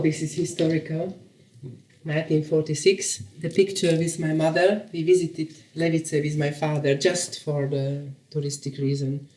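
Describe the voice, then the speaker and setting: A woman in her seventies who grew up in Slovakia with Hungarian as her first language speaks as a poet in a reflective documentary interview. She talks haltingly, searching for words and often restarting her sentences. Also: sits low, warm tone, slight background noise. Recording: roomy echoey sound.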